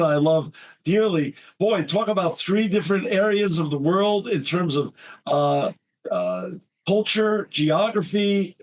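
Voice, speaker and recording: Effusive male podcast host. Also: high-pitched. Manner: enthusiastic, effusive. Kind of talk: interview podcast hosting.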